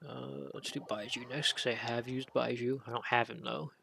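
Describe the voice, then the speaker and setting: Gravelly casual male game streamer. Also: mid-range voice, flat delivery, moderate pace, bright, forceful tone. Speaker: gaming streamer. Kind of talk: casual game commentary, reading skill descriptions aloud.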